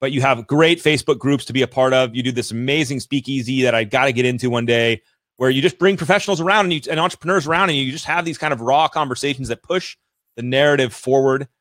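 Male interview host; eager and gushing, quick restarts, even mid-range voice, dry. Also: mid-range voice, no room sound. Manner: energetic, effusive, many restarts. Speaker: podcast host. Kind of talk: interview chat.

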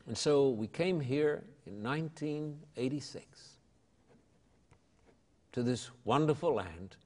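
A man's voice: medium pitch, lively intonation, takes it slowly, a little mellow, big-room sound. An older man with an Australian accent, an evangelist telling his story in an interview with an emphatic, preacherly and folksy manner. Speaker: evangelist guest.

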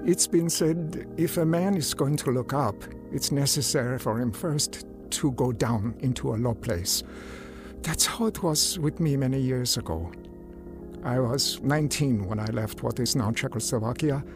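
A man's voice: mid-range voice, moderate pace, music in the background, close to an everyday voice. An actor playing an earnest Czech immigrant man in a radio drama that recalls his life. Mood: reflective, rueful. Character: earnest male Czech immigrant, narrating past and reliving youth. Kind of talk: radio drama acting and narration.

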